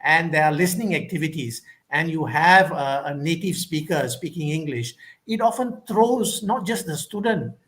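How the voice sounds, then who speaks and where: Higher-voiced Malaysian man with many fillers. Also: expressive, normal speed, a bit bright. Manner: thoughtful, many fillers and restarts. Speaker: conference speaker. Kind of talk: academic Q&A answer.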